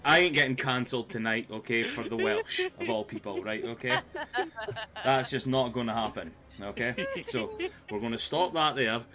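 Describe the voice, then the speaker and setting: Swearing Scottish game master. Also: male voice, medium pitch, normal speed. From Scotland. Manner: swears a lot, jokey banter. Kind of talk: casual chat and game recap. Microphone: live stream mic.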